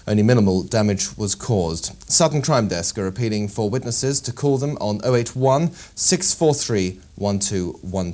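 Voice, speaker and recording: A man with a British accent reading a scripted local television news bulletin in a formal, measured manner. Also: medium pitch, a little mellow.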